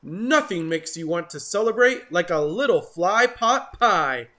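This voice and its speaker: A woman voicing a jolly, hearty, welcoming bullfrog with a croaky voice in a children's storybook. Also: fairly low pitch, lively intonation, measured pace, fairly bright tone, voice pushed up.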